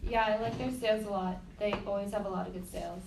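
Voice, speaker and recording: A woman's voice: medium pitch, steady tone, fast, gravelly. A 21-year-old woman answering casually in a focus group, with fillers here and there. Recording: echoey room, distant noisy mic.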